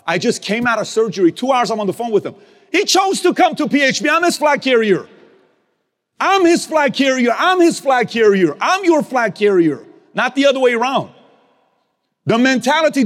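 Man, motivational leader, emphatic and repetitive, very lively delivery, reverberant hall. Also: extremely high pitch. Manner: emphatic, repeats key phrases, swings from loud to hushed; casual street slang and mild cursing. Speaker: business leader speaking to his team. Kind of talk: motivational speech.

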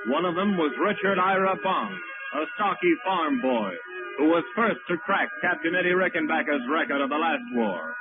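A man's voice: on the high side, animated, normal speed. An American man announcing on 1940s radio, reading a scripted narration in a grave, dramatic, declamatory manner. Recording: tinny old 1940s radio wire recording, music. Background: music.

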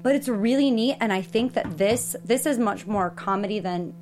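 A woman's voice: medium pitch, moderate pace, a little mellow. A woman speaking as a panelist in a casual discussion, chatty and emphatic as she gives feedback.

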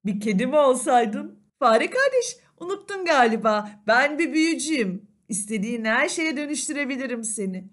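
A woman's voice: sits high, very animated, moderate pace, few pauses. A woman voicing a kindly, lonely old wizard in a children's fairy tale, speaking expressively and eager for a friend. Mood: confident.

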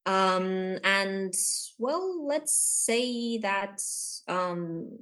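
A woman's voice: mid-range voice, animated, very slow, a bit bright. A woman from Romania, talking reflectively and hesitantly as a guest on a podcast. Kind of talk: reflective personal talk.

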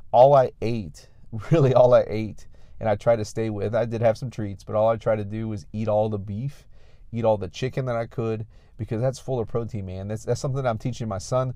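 An American man with a mid-pitched voice delivers a motivational fitness talk as a coach. He is emphatic and exhorting, repeating key phrases to drive them home. The sound is dry, with very little room to it. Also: mellow, no room sound. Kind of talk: motivational talk to an audience online.

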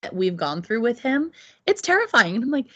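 A woman's voice: a little high, very animated, fairly quick, a bit bright, slightly rough, dull audio. A woman in her thirties, a podcast guest, talking through her own experiences in an earnest, reflective way. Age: 30s. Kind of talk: casual conversation.

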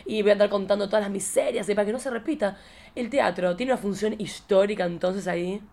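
A woman with an Argentine accent hosting a casual conversational podcast, with an expressive, theatrical way of putting things. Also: medium pitch, fast talker. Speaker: podcast host.

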